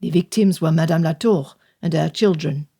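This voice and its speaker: A burly older man of the village, blunt and solemn, put on by a woman reading a storybook. His voice sits well below the narration, heavy and deliberate, with no flourish. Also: sits low, vocal fry, dark tone, voice pushed down. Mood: grave.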